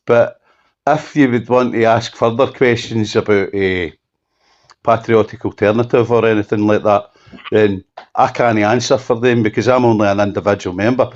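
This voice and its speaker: A dull, lo-fi phone call carries a Scottish man's fairly low voice. He speaks off the cuff as an interviewee, halting as he goes and repeating his points to press them home. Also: steady tone. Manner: halting, repetitive, emphatic restatements. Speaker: campaigner being interviewed. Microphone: telephone.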